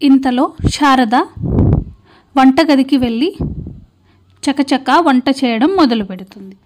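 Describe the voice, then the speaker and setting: A woman with a high voice tells a cartoon moral story. She reads it as scripted narration, in the role of storyteller. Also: slow, a little mellow, slight background noise.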